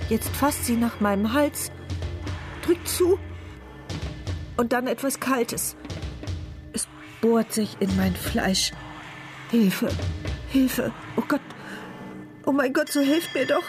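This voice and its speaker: An actress playing an anxious woman sleuth who cannot speak, narrating her intense thoughts in a radio play. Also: a little high. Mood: terrified. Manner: intense, brooding inner monologue. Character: mute paralysed woman sleuth, anxious inner voice. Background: music.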